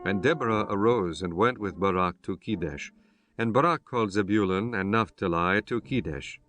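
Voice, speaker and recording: A man narrating scripture from a script in a measured, solemn manner with archaic biblical wording. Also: on the low side, fairly bright tone. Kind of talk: scripted scripture narration, King James Bible.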